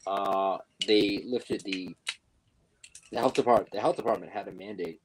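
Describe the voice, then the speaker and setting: A man's voice: lowish voice, unhurried, background noise. A young man chatting casually as a guest, rambling with fillers and restarts. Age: young adult. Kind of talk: casual chat. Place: New York.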